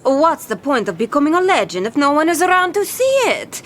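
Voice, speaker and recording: A bold young pirate captain with a high, very animated voice. She swaggers and boasts in theatrical audio drama style, full of salty pirate talk. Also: a little fast, slight background noise. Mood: excited, swaggering.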